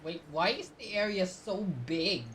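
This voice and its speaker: A woman's voice: mid-range voice, a little slow, a bit bright, recorded from a distance, slight background noise. A woman streamer giving casual gameplay commentary, thinking aloud and muttering to herself as she plays. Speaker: game streamer.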